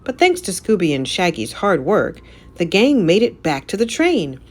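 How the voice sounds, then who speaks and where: A woman narrating a children's storybook aloud in an animated, scripted read-aloud style. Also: fairly low pitch, normal speed.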